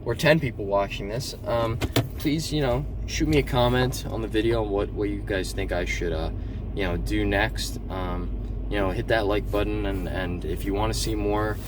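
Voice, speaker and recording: A man talking casually with many ums and restarts, in a slightly monotone voice, recorded in a car. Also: lowish voice, normal speed. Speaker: eBay seller vlogger. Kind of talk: casual vlog talk. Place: in a car, driving around town. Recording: distant mic, loud car and street noise.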